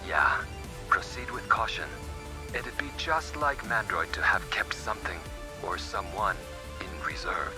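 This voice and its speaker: A man's voice: on the low side, unhurried, close to an everyday voice. An actor playing a helpful male support ally in a video game, guiding in a matter-of-fact, encouraging way. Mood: helpful, cautious. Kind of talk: video game cutscene acting. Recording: loud music behind the voice.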